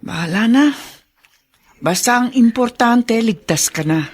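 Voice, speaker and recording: A woman's voice: a little high, expressive, unhurried, husky. An actress playing a hopeful, steadfast woman comforting a patient in a radio drama. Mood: reassuring, hopeful.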